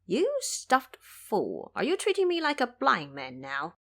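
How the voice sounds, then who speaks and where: Woman voicing a brash, cocky monkey hero, very animated. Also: high-pitched, unhurried, voice pushed up, full character voice. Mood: scornful. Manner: scolding, bossy, self-assured. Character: Xing Zhe, brash, cocky male monkey disciple.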